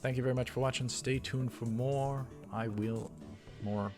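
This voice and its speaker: A man's voice: medium pitch, fairly even intonation, moderate pace. A man hosting a let's play, reading a visual novel script aloud as narrator and for its characters. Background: game music.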